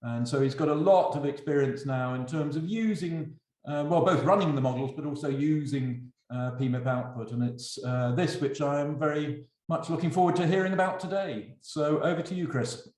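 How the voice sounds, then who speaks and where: A middle-aged man hosting a webinar and giving an informal introduction with halting, hesitant delivery. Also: fairly high pitch, a little mellow. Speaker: webinar host introducing a speaker. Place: indoor room on online call. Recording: roomy, echoing webinar call.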